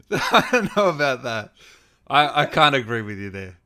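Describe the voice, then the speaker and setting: A man's voice: mid-range voice, sing-song, unhurried, sharp tone, clean tone. A man, hosting an informal interview in a casual, jokey way. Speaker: podcast interviewer, host.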